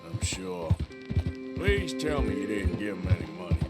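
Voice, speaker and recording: An actor playing a weary, gruff, protective outlaw with an American Western drawl and a dry, sardonic manner in a video game. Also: male voice, sits low, very animated, normal speed, over loud music. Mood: dryly teasing.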